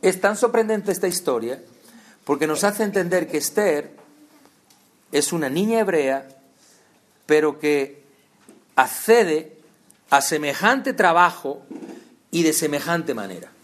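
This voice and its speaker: Male preacher, fairly high voice, chatty sermon with lots of questions to the listeners. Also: expressive, slow pace, pauses often. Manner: conversational, addresses listeners directly with rhetorical questions.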